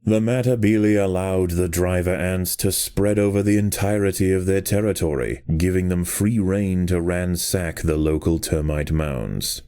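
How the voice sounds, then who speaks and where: A man reading scripted nature documentary narration for a game in a measured, grave storytelling manner. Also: deep voice, warm tone, clean tone.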